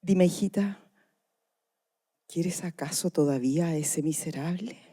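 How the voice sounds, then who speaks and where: A woman's voice: on the low side, unhurried, warm tone, husky. A woman playing a protective, grieving mother pleading with her grown daughter in a dramatised radio drama reading. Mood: pained, solemn.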